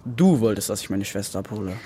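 A young man acts a teenage son in a radio play, curious and a little sulky. His delivery is casual, with lively rises and falls. There is faint noise behind him. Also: lowish voice, fast, close to an everyday voice. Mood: questioning. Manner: casual, questioning, youthful. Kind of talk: naturalistic radio play acting.

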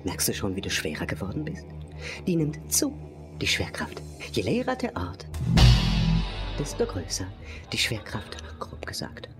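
An actor playing a mysterious, patient guide in a radio play, speaking in halting short phrases that often drop toward a whisper. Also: male voice, lowish voice, huge pitch swings, over loud music. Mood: musing, patient. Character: mysterious guiding narrator, teasing, patient, cryptic. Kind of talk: radio drama narration addressing the listener.

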